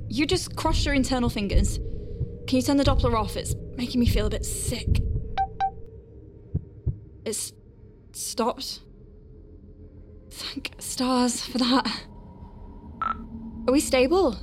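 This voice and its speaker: A space medic in a sci-fi audio drama. Her voice is high and expressive, chattering nervously between flustered focus and open wonder. Music plays clearly behind her. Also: slow, long pauses. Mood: relieved, shaky. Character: young female space medic Faye, flustered but awestruck, working inside star whale. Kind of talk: audio drama acting with first-person narration.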